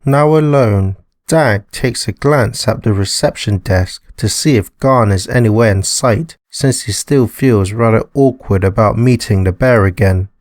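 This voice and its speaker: Slow, measured male story narrator. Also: lowish voice, a little mellow, dry recording, studio quality. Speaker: narrator and author.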